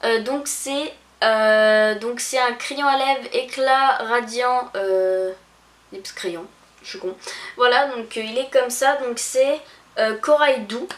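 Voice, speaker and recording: Young woman, halting chatty vlog. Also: on the high side. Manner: hesitant, many fillers and restarts. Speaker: beauty vlogger. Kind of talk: casual haul video. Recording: echoey room.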